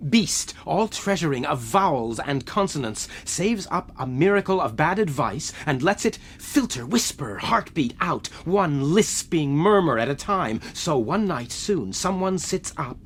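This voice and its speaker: A man playing a lonely, stranded man in a radio drama, excitable and rambling as if unhinged by solitude. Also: on the high side, a little hiss or hum. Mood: uncertain, straining. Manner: rambling, wildly emotional, halting.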